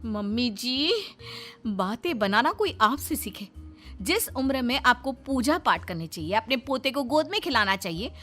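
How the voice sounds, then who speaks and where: A woman plays an indignant young family daughter-in-law in a Hindi story drama. Her voice sits fairly high and she scolds reproachfully, worried about family honour. Light music plays behind her. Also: animated, a little slow, pushed and bright, heavily acted. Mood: scolding, reproachful.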